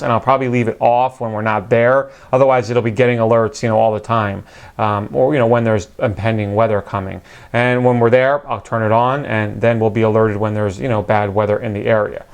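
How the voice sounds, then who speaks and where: A man's voice: medium pitch, clear, resonant tone. A man with a mid-range voice gives an informal product walkthrough. He speaks in a conversational, matter-of-fact way, explaining as he goes. The delivery is unscripted and plain. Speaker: product reviewer. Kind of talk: casual unscripted product review narration.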